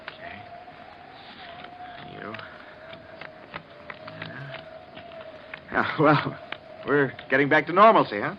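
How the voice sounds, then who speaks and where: Casting: impatient, doubting householder, wide-swinging delivery, vintage radio drama with dull, hissy sound. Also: male voice, mid-range voice, animated, takes it slowly, creaky, pauses often. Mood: brisk reassurance. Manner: dismissive, insistent, faltering under strain. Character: skeptical, impatient master of a haunted house. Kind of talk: 1950s radio horror acting.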